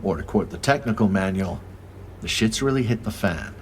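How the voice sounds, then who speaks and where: Male, low register, jaded noir investigator, dry and sardonic, faint ambient noise behind. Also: animated, normal speed. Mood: weary. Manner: world-weary, dry, sardonic. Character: weary, wry male crime scene investigator narrating a noir case. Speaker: crime scene investigator and narrator. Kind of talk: noir audio drama narration and dialogue.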